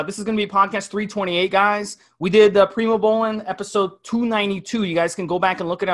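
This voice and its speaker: A man's voice: high voice, a bit bright. A man hosting a podcast, talking in an upbeat, chatty way. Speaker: podcast host. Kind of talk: casual podcast chat.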